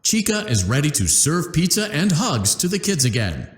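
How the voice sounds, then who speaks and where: Cheerful corporate training instructor. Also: male voice, on the high side, very animated, normal speed, roomy sound. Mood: instructive. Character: upbeat corporate male repair-training instructor. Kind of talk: scripted game instructions.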